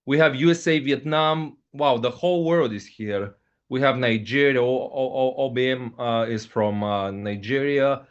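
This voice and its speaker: A man hosts a live online presentation, his English halting and full of filler sounds. His voice sits at an ordinary middle pitch. The sound is a dull, lo-fi video-call recording. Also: smooth. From Bulgaria. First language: Russian. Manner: hesitant, frequent fillers, repeats "okay, guys". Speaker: host, company ambassador.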